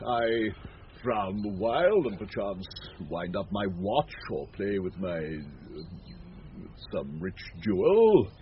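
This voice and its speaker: An actor playing a pompous, self-loving steward lost in grand daydreams, savouring each word in a vintage radio Shakespeare comedy. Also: male voice, deep voice, sing-song, slow, exaggerated voice. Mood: haughty, self-important. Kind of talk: 1970s radio Shakespeare acting. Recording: dull vintage radio recording with faint hiss.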